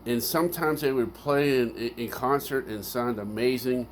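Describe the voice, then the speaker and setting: A man's voice: mid-range voice, normal speed, a little hiss or hum. A man giving a casual, unscripted, rambling and technical review of his audio gear with enthusiasm.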